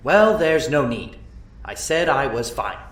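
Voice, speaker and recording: A man's voice: medium pitch, very animated, a little slow. A man playing an anxious, defensive young man in an anime fan audio drama. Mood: irritated. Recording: echoey, distant, faint noise.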